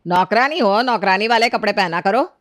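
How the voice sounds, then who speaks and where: A woman's voice: mid-range voice, normal speed, dry recording, studio quality, lightly acted. A woman voicing a harsh, scornful middle-aged mother-in-law who scolds and orders her maid about in a cartoon story. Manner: scolding, commanding, sarcastic. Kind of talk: Hindi cartoon story character dialogue.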